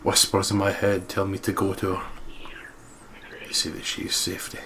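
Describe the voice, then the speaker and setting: A man's voice: low voice, completely flat delivery, normal speed, background noise. A man reading first-person horror narration aloud dramatically as a let's play host, with game music behind him. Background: prominent game music.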